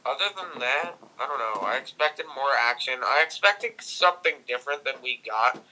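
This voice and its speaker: Bright, insistent young man talking movies. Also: medium pitch, animated, moderate pace, poor audio. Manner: insistent, opinionated, interrupting. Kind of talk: casual movie discussion.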